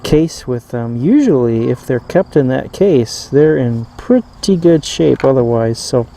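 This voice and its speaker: A man giving a casual, unscripted demonstration as a reviewer, pausing often and rambling a little as he goes. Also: medium pitch, lively intonation, warm tone. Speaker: vintage radio reviewer. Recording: clear background noise.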